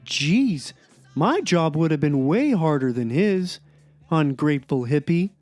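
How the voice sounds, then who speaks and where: A man playing a gloomy, sardonic cartoon blogger in a dramatic reading, deadpan and aggrieved. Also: on the high side, measured pace, no room sound, soft background music. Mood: aggrieved, wry. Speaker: performer in a podcast dramatic reading. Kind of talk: dramatic reading of a comic blog.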